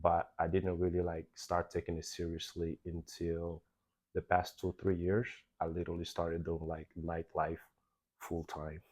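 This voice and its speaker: Deep-voiced young man from Belgium. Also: a little slow. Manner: animated, acts out quoted exchanges. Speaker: podcast guest, nightlife VIP host. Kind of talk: casual interview storytelling.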